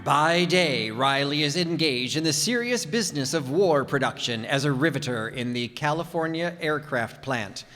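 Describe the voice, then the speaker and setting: A man's voice: fairly high pitch, lively intonation, moderate pace, hardly any pauses, fairly bright tone, soft background music. A man playing a grand, earnest announcer, reading scripted narration in the style of 1940s radio. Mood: grand, sincere. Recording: echoey hall stage recording.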